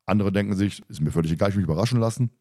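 A man's voice: fairly low pitch, racing, dark tone, no room sound, studio quality. A man hosting a casual podcast, chatting and making announcements in a joking, teasing, talkative way. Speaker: podcast host and moderator.

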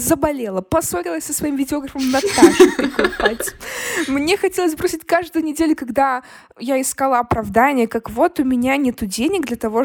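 A young woman answering casually as a podcast guest, expressive and informal, acting out her own inner dialogue. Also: high-pitched. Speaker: podcast guest, singer and content maker. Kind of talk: casual conversational interview answers.

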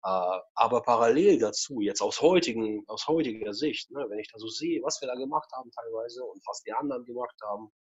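Husky man recounting his past, dull sound. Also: medium pitch, fairly quick, few pauses. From former Soviet Union. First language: Russian. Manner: rambling with many fillers and tag questions. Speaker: interview guest. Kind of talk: casual personal storytelling.

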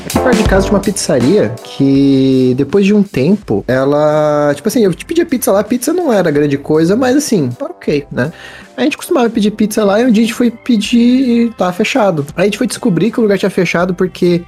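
Fast high-voiced são guy telling a story, lively, faint music under. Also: husky. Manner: quick, storytelling, dry jokes. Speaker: podcast co-host. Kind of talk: casual group chat.

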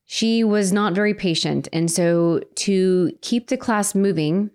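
A woman giving an earnest, reflective personal testimony about her faith, pausing and restarting as she speaks. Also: mid-range voice, a little mellow. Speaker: interviewee sharing her faith story.

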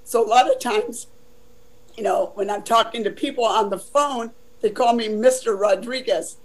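Older woman with a deep voice. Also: steady tone, moderate pace, low-quality recording. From Southern California. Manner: chatty, many "you know" fillers. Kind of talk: casual life-story telling.

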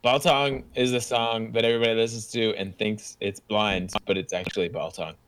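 A man's voice: on the low side, fairly even intonation, brisk, a bit bright. A man in his thirties chatting casually and animatedly as a podcast guest. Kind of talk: casual chat.

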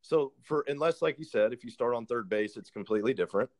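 A man's voice: medium pitch, fast, a little mellow. Earnest man in his thirties giving advice. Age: 30s. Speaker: realtor. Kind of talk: casual podcast conversation.

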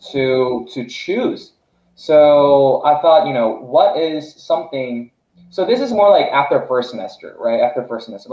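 A man's voice: medium pitch, a little mellow, roomy sound, rough recording. A young man from South Korea, a startup founder telling his story as a podcast guest, with frequent restarts and fillers. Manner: halting, many restarts and fillers. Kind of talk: casual podcast interview.

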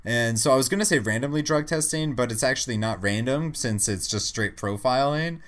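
A man's voice: mid-range voice, brisk, a bit bright. A man co-hosting a podcast, giving casual news commentary in a conversational, restarting way. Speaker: podcast co-host.

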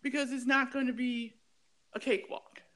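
Higher-pitched woman host, chatty, emphatic, kinda ranty when she gets going. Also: flat delivery, moderate pace, a bit bright. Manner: chatty and emphatic, with a ranting edge. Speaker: podcast host. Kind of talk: casual interview chat.

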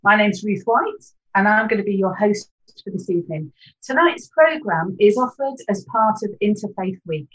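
A woman hosting an interfaith panel discussion in a measured, welcoming tone, now and then clearing her throat. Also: mid-range voice, animated, fairly bright tone, lo-fi sound. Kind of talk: presenting and leading a short prayer.

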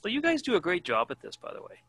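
A man's voice: high-pitched, wildly swooping pitch, racing, a bit bright, slightly rough, no room sound. A man in his fifties, a student in an online class, chatting in a friendly, joking way over a video call. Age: 50s. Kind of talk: casual chat in a video class.

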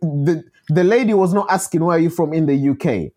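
A man's voice: fairly high pitch, brisk, dark tone. A man arguing emphatically as a podcast panellist, cutting in and repeating short phrases in a casual, heated debate. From born in Malawi.

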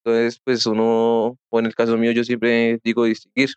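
A man's voice: medium pitch, completely flat delivery, clear, resonant tone, dry recording, highs cut off. A young adult man, chatting casually in a group and often restarting his sentences. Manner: halting, many restarts and fillers.

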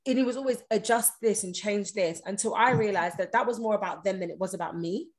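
A woman's voice: medium pitch, fast. Brief: thirties woman, confident guest, direct and emphatic, mid-range voice. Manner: direct, self-assured, emphatic. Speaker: podcast guest. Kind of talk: casual conversation.

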